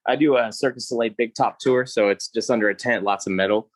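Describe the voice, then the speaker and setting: A man's voice: medium pitch, steady tone, fast talker. A man who works as a touring audio technician, asking a technical question as a participant in an online session.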